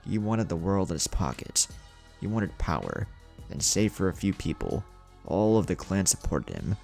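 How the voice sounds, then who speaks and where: Deep, gravelly male story narrator. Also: huge pitch swings, normal speed, a little mellow. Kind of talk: scripted narration of fan fiction story. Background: music.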